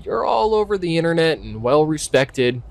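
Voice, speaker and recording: A man's voice: a little high, sing-song, moderate pace, gravelly. A man acting a young, skeptical park ranger in an audio drama, speaking casually and hesitantly with a wry edge. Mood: earnest. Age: young adult. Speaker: voice actor. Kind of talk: naturalistic audio drama dialogue.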